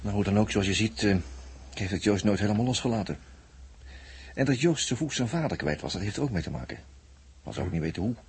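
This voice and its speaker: Brisk, low, husky man. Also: lively intonation, heavily acted. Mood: explaining. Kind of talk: 1960s radio drama acting.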